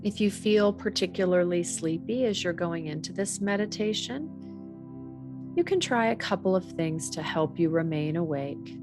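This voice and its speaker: A woman guiding a body scan meditation in a measured, gently instructional way. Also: fairly low pitch, expressive, over music. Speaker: meditation teacher.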